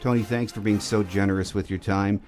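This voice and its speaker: A man's voice: on the low side, steady tone, a little fast, darkish tone, faint music behind. A man hosting a sports podcast, delivering a scripted game preview.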